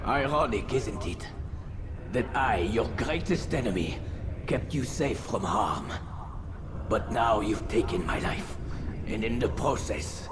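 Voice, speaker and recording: A man's voice: on the low side, animated, noisy background. A man playing a dying Templar grand master in a video game, bitterly sardonic and weakened, speaking with knowing irony. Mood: bitterly ironic. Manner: slow, ironic, knowing. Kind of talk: dramatic video game cutscene acting.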